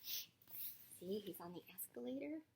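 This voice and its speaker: A woman reading a storybook aloud to young children, expressive, with chatty, coaxing asides and questions. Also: medium pitch, takes it slowly, vocal fry, slight background noise.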